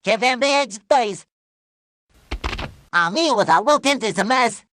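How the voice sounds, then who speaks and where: A man's voice: very high voice, animated, unhurried, pushed and bright, a little hiss or hum, exaggerated voice. A man voicing an eager, pushy little cartoon creature who chirps like an insistent salesman in a commercial skit. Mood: cheerful, insistent.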